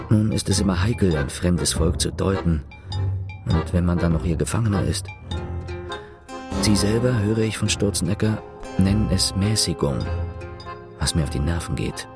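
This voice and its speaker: An actor playing a sober, detached narrator in a German literary radio drama, measured and dryly ironic. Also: male voice, low voice.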